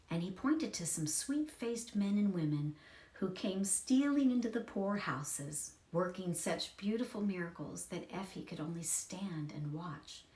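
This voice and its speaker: A woman narrates a storybook from a script. Her voice sits a little low, and the room adds a clear echo to her reading.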